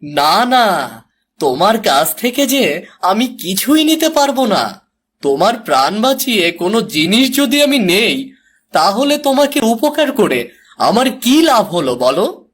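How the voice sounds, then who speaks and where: A man's voice: high voice, sing-song, a little slow, a bit bright, breathy edge, exaggerated voice. A man playing a poor, kind-hearted fisherman and father in a cartoon, with a plaintive, exaggerated delivery. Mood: kindly, hesitant. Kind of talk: Bengali cartoon fairy-tale acting.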